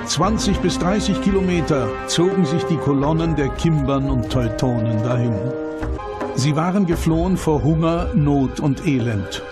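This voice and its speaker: Higher-pitched male documentary narrator over loud music. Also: moderate pace. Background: loud background music.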